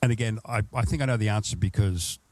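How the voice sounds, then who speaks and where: A man's voice: lowish voice, quick pace, darkish tone, no echo. An Australian man hosting a travel podcast, chatting casually and rambling through his questions, often restarting them. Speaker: podcast host. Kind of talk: casual interview chat.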